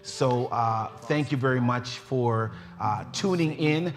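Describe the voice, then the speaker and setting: A man's voice: medium pitch, slow, in a large hall, soft background music. A middle-aged man hosting a faith talk show, joking and laughing as he welcomes his guest. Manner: jovial, laughing, warm teasing of his guest.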